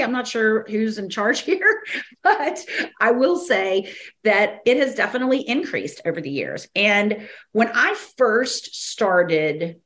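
A woman hosting a teaching podcast, slightly hoarse, talking in a rambling casual way with many fillers, restarts and short laughs. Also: mid-range voice, fairly bright tone. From American South. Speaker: podcast host and ministry teacher.

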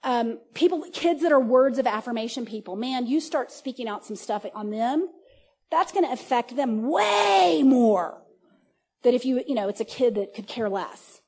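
A woman in her forties giving a casual, conversational sermon at a church service, animated, often laughing and restarting her sentences. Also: a little high, moderate pace, creaky, slightly rough. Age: 40s. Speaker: preacher.

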